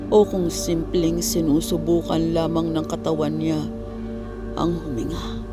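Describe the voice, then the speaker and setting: A storyteller narrating a scripted horror tale in a suspenseful manner, with dramatic pauses and hushed moments. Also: mid-range voice.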